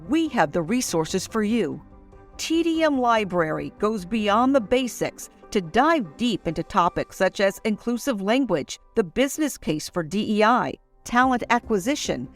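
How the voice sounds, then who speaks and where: A woman's voice: mid-range voice, very animated, measured pace, soft background music. A woman reading a scripted advertisement in an upbeat, persuasive way. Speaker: ad announcer. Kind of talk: scripted ad read.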